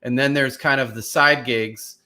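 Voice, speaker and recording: A man's voice: mid-range voice, steady tone, unhurried, pushed and bright. A man co-hosting a podcast, asking long rambling questions with many restarts. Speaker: podcast co-host. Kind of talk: casual podcast interview.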